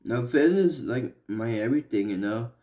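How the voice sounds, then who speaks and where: A young man from Malaysia talking earnestly in a personal vlog, halting and restarting with many fillers. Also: mid-range voice, animated, mellow. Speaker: vlogger.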